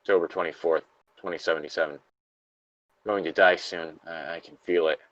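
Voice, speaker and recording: A man reading a diary log as a trapped, dying factory worker, halting, weary and anguished. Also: deep voice, steady tone, fairly bright tone, no room sound, dull audio, voice pushed down. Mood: weary, resigned. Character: dying, despairing male factory worker trapped under rubble.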